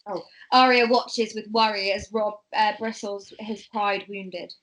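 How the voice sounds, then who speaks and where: Woman narrating a script, mid-pitched voice. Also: fairly even intonation, unhurried, a bit bright, poor audio. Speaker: narrator reading stage directions. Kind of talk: scripted narration at amateur table read.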